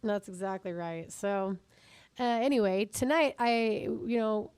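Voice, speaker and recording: A middle-aged woman with a husky, raspy voice, hosting a casual podcast chat, joking about herself and laughing often. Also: medium pitch, unhurried, a little mellow, no echo. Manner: chatty, self-deprecating, laughs often. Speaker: podcast host.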